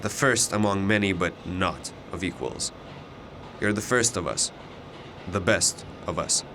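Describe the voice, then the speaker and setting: A man's voice: deep voice, unhurried, background noise. A man playing a cocky, chatty young double who knows it all, with a glib, teasing way of talking in an audio drama. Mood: earnest. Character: cocky, chatty, all-knowing alternate-universe male double of a student.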